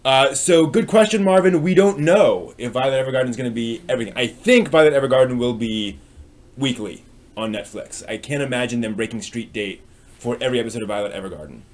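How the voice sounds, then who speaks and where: A man's voice: mid-range voice, quick pace. A man hosting a live stream, chatting casually and ramblingly as he responds to viewers. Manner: rambling, reacting to chat as it comes.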